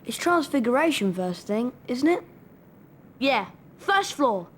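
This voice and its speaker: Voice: mid-range voice, sing-song, slow, a little hiss or hum. An actor with a British accent playing a young schoolboy wizard in a game, earnest, polite and a little defensive.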